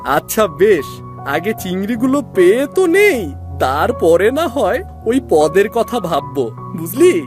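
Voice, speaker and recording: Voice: medium pitch, very animated, measured pace, music in the background. An actor voicing a brave, protective big brother, a village boy in a cartoon story. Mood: reassuring.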